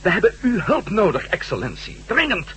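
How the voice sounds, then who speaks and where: A man's voice: sits high, wildly swooping pitch, normal speed, bright, forceful tone, a little hiss or hum, exaggerated voice, rough recording. A man acting an earnest, deferential conspirator in a Dutch radio drama, polite and eager as he addresses a superior. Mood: urgent, deferential. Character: deferential, earnest male conspirator pleading for help.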